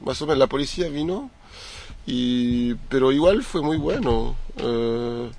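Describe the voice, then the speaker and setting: A man hosting a casual interview, talking haltingly with many hesitations. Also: mid-range voice, lively intonation, a little mellow, slight background noise. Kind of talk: casual radio interview chat.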